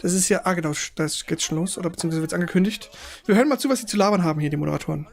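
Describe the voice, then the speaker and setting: A man streaming from his own setup, chatting quickly and casually over a live broadcast. His remarks are loose, jokey asides to viewers. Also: sits high, creaky. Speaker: streamer watching a live showcase.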